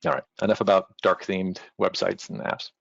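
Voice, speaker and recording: A man's voice: low voice, monotone, moderate pace, gravelly, muffled recording. A man giving an informal technical tutorial as a presenter, in a casual, reassuring, conversational manner. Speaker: technical documentation team member, presenter.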